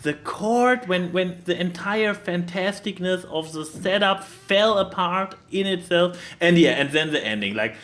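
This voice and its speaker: A man's voice: high voice, fairly bright tone. A man co-hosting a podcast, talking casually about films and emphatically repeating phrases for stress. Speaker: podcast co-host. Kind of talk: casual film chat.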